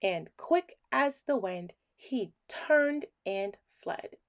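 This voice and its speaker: A woman reading a children's bedtime story aloud in an expressive, theatrical way that acts out the emotions in the words. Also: medium pitch, extremely slow.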